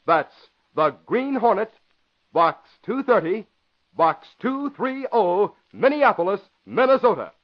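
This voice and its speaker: A man's voice: high voice, big pitch swings, slow. A man announcing and narrating in theatrical, emphatic 1940s radio style. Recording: muffled old radio broadcast.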